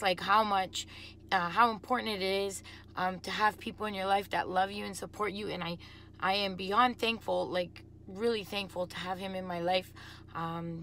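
Casual female vlogger, thirties, bright voice, phone-recorded. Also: lowish voice, a little hiss or hum. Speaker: singer vlogging. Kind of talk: casual selfie vlog chat. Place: small indoor room at home, later inside a car. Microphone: phone at arm's length.

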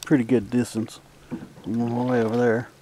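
A man's voice: medium pitch, brisk, background noise. Easygoing man narrating a canoe trip. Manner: relaxed, rambling, many fillers. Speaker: outdoor vlogger. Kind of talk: casual outdoor narration. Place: outdoors on a lake in a canoe.

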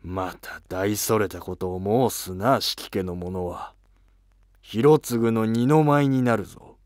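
A man voicing a weary, resentful senior court minister in an animated historical drama, with lofty, curt, archaic speech. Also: lowish voice, takes it slowly, no room sound, clean studio sound. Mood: wary, grave.

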